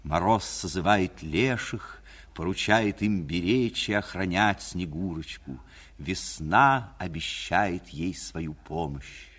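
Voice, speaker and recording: A man narrating a scripted fairy tale for 1940s radio in a measured storyteller manner. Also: deep voice, fairly bright tone, slightly rough. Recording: 1949 radio recording.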